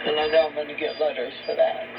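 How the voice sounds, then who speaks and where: A woman's voice: low voice, level tone, quick pace, crowd noise. A woman giving religious talk radio commentary, heard through a shortwave radio. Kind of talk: talk radio commentary with scripture and article reading. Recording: dull, very noisy shortwave radio broadcast.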